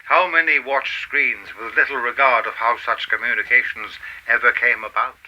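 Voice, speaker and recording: Man acting a bright, forceful, enigmatic otherworldly teacher. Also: medium pitch, fairly even intonation, slight background noise, rough recording. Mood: cryptic, lofty. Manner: cryptic, measured, formal phrasing. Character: enigmatic otherworldly male teacher, calm and lofty. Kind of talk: radio play acting.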